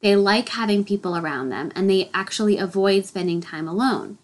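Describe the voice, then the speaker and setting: A woman's voice: medium pitch, fairly quick. A woman hosting her solo podcast, a little nasal and congested from a head cold, explaining a topic in an upbeat way. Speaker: podcast host.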